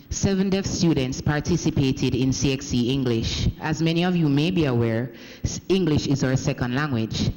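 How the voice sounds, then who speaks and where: A man with a Jamaican accent delivering a formal speech in a parliament chamber, measured, often restarting and correcting himself as he goes. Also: medium pitch, darkish tone. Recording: echoey parliament hall.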